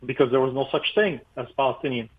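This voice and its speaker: A man's voice: medium pitch, quick pace. A man, a pastor whose first language is Arabic, explaining history emphatically as a guest in a radio interview, with many fillers. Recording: tinny phone line.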